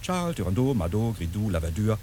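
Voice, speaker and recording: Grandiose world-weary uncle, lowish animated voice, declamatory radio-drama delivery, faint noise behind. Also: male voice, fast talker. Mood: impatient. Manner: declamatory, dramatically drawn out when musing. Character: theatrical, world-weary philosophising uncle, exasperated but grandiose. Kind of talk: German radio play acting.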